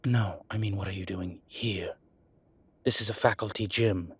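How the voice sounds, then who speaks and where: Deep, husky, evasive demon professor played by a man. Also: steady tone. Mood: flustered, evasive. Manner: halting, defensive, muttering asides. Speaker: voice actor. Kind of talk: audio roleplay acting, one-sided dialogue.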